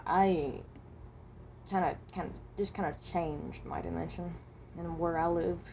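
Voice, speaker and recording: A woman voicing a reluctant, resigned animatronic rabbit from another dimension in a fan roleplay, speaking haltingly and correcting herself. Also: on the low side, steady tone, a little mellow, a little hiss or hum. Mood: weary, resigned. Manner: hesitant, halting, self-correcting.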